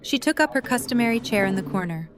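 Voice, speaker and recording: A woman's voice: a little high, animated, quick pace, noisy background. A woman reading scripted narration as the narrator of an audio drama.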